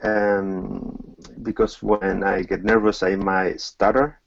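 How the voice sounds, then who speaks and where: A middle-aged Argentine man answers interview questions in a low voice, pausing often and restarting his sentences. His audio sounds dry and slightly dull, like a lo-fi remote connection. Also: level tone, creaky, no room sound. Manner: hesitant, many fillers and restarts. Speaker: tango teacher, guest.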